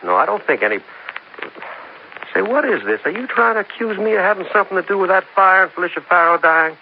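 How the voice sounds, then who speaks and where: A man's voice: sits high, lively intonation, quick pace, gravelly. An actor playing a defensive, flustered young man under police questioning in a 1950s radio drama, indignant and protesting. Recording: muffled old radio recording, faint noise.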